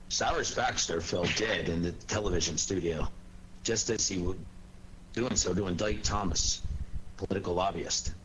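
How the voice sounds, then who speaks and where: A man's voice: low-pitched, a bit bright, a little hiss or hum, poor audio. A man working as an announcer, delivering a scripted story recap.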